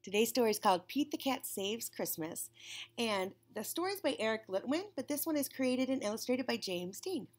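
A woman in her forties reading a children's storybook aloud, with an expressive, deliberate storytelling delivery. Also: on the high side. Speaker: children's storybook reader, teacher. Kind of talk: read-aloud for young children. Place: classroom, indoors.

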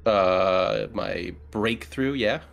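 Low, creaky male tabletop player. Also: extremely slow. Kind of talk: casual table talk.

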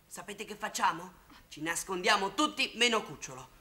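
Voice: medium pitch, expressive, moderate pace, bright, forceful tone. A young performer playing a bossy, decisive teenage boy who leads a gang of children in a 1960s Italian television drama. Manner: decisive, organizing, instructing. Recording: noisy old 1960s TV soundtrack.

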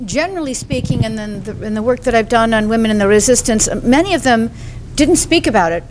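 A woman panelist at an academic conference, answering thoughtfully with halting restarts. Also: a little high, animated, a little mellow, slight background noise. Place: hotel conference room.

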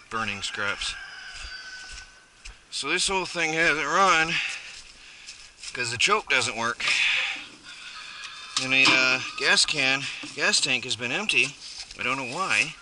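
A rambling, chatty man talks through his chores while filming himself outdoors. His voice sits at an ordinary middle pitch. The head-mounted camera picks up clear background noise. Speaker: homesteading vlogger. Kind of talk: casual first-person narration while working. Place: outdoors on a rural homestead. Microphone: head-mounted action camera.

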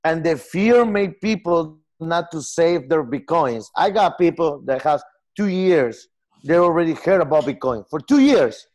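A man in his forties from Peru, speaking English with a Peruvian Spanish accent, earnest and halting in a casual video-call interview. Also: a little high, expressive, a little mellow. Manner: earnest, gestures a lot, halting non-native phrasing. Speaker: software company founder. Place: home room with lamp and armchair.

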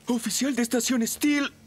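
A man's voice: very high-pitched, slightly rough, exaggerated voice. A man voicing an eager, easily alarmed, clumsy young firefighter in a Latin American Spanish cartoon dub. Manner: excitable, theatrical. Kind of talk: animated cartoon dub acting. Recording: loud music behind.

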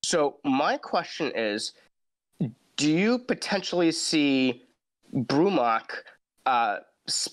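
A man's voice: fairly high pitch, sing-song, takes it slowly. A man co-hosting a podcast over a video call, playful and cocky, with a slightly scratchy voice. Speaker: podcast co-host.

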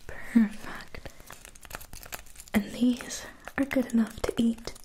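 A young woman whispering gentle instructions in an ASMR spa roleplay, speaking slowly and softly to the listener. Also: on the high side, fairly even intonation, slight background noise. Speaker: ASMR creator. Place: indoors, small room with a floral backdrop.

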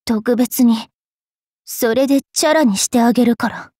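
A woman playing a haughty, mischievous young vampire fairy priestess in an anime game, brash and teasing in a rough-spoken way. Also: fairly high pitch, normal speed, a little mellow, dry recording, studio quality, lightly acted. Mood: demanding, aloof. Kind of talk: anime game character voice lines.

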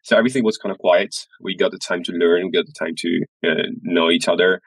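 Very deep-voiced man. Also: fairly even intonation, fast talker, creaky, no echo, poor audio. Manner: hesitant, many uh fillers and repeats. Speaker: guest, studio founder. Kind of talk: casual interview. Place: small room indoors, video call. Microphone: headset.

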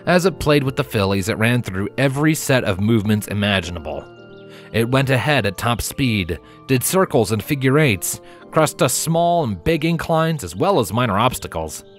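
A man giving an expressive scripted narration of a story as its narrator. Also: mid-range voice, moderate pace, faint music behind.

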